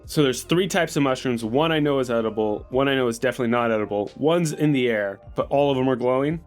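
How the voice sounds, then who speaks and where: A man's voice: mid-range voice, quick pace. Man as a tabletop gamer, mid-range voice, casually improvising and puzzling things out aloud over music. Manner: questioning, thinking aloud. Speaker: tabletop game player. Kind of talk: casual improvised roleplay chat. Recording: music behind the voice.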